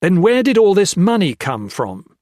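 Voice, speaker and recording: A man's voice: expressive, unhurried, breathy edge, no room sound, exaggerated voice. A twitchy, vindictive officer barks accusations in a raised, strained voice, done by a male narrator. The audiobook recording is close and dry. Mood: angry, barking. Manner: barking, shrill. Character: angry, edgy British officer, male, vindictive.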